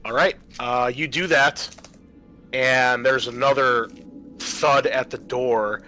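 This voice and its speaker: Bright-voiced man running a tabletop game. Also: medium pitch, steady tone, slow pace, soft background music. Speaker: game master. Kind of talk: narrating a tabletop roleplaying game, improvised.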